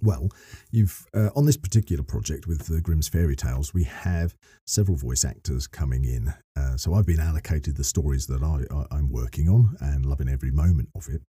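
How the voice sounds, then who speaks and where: A middle-aged man with a very low, dark and slightly husky voice talks on a podcast. He explains things earnestly and at length, often rephrasing as he goes. The sound is close and dry. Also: brisk, few pauses, dry recording. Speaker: podcast guest, voice actor and narrator.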